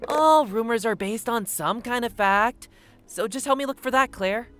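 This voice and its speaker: Woman as guarded secretive youth, slightly high voice, bright tense tone, defensive, roleplay drama. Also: normal speed, faint music behind, close to an everyday voice. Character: guarded, troubled, evasive youth keeping secrets. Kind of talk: Minecraft roleplay voice acting.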